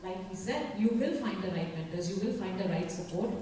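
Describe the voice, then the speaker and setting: A woman's voice: medium pitch, expressive, fairly quick, mellow, a little hiss or hum. A woman on a conference panel speaking directly and encouragingly to a young audience member. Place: large conference hall. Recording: echoey conference hall from far off.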